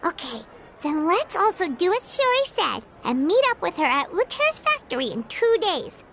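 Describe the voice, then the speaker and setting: A woman voicing a tiny, excitable female companion creature in a video game, who talks about herself in the third person. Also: very high-pitched, normal speed, a little hiss or hum, heavily acted. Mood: chatty. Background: game music.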